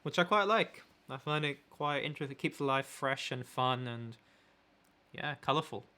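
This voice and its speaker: A young man with a London accent chatting casually with his partner in a relaxed, wordy way, sometimes searching for words. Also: mid-range voice, moderate pace. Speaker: photographer and vlogger, co-host.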